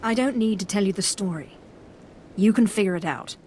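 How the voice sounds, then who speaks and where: A woman's voice: medium pitch, normal speed, a little mellow, a little hiss or hum, close to an everyday voice. A woman acting a cool young Sith apprentice. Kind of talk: video game voice acting.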